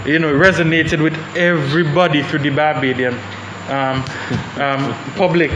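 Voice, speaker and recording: A young man talks through his views on a panel, pausing and restarting as he thinks. His voice sits a little high, and the room is echoey with steady background noise. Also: lo-fi sound. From Saint Vincent and the Grenadines. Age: young adult. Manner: thoughtful, hesitant, many restarts. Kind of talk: panel discussion.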